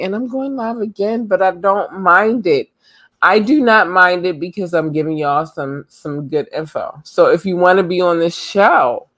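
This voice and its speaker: Woman podcast host, hoarse, casual, and chatty, recorded dry. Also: low-pitched, no echo. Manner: loose and chatty, full of ums and slang. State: losing her voice. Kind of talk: casual live podcast talk.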